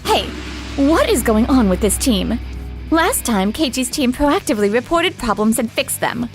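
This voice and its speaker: A woman's voice: a little high, very animated, normal speed, faint music behind. Woman acting a politely cutting business client. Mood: blunt, displeased. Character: polite but blunt female factory client. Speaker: voice actor in manga dub. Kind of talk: dramatized manga story dub.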